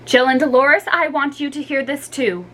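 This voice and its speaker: A woman voicing a stern, disappointed mother who scolds in measured words, in a storybook reading. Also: sits high, fairly even intonation, a bit bright, a little hiss or hum, voice pushed up.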